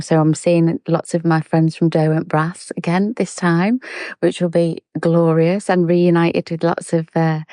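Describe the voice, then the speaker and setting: A woman actor answering interview questions in a chatty, enthusiastic way with quick asides. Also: low-pitched, mellow, no echo.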